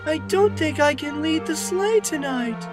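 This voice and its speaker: Timid reindeer, female actor, sad and hesitant, high slow delivery, holiday comedy. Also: lively intonation, music in the background. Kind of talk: comic audio drama.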